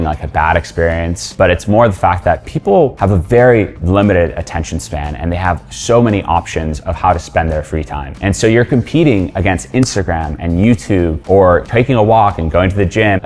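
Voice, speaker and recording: A man with a very low voice speaks in a documentary interview. He explains lessons from building a company in a reflective, measured way. Soft music plays faintly underneath. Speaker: startup co-founder.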